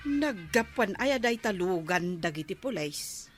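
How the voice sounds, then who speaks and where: A woman's voice: mid-range voice, lively intonation, a little slow, soft background music, close to an everyday voice. An actress playing an amused, marvelling village woman in an Ilocano radio comedy drama, with an Ilocano accent.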